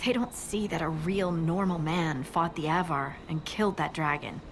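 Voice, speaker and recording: An actress playing a wry, friendly young scout in a fantasy game, speaking in a conversational, teasing way. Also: female voice, deep voice, moderate pace, background noise. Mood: reflective, wry. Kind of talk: naturalistic fantasy video game acting.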